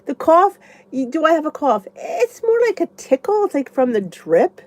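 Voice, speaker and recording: A woman's voice: high voice, big pitch swings, normal speed, darkish tone. A congested woman vlogger with a stuffy nose, rambling chattily as she answers viewer comments in a casual live chat. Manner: chatty and rambling, thinks aloud.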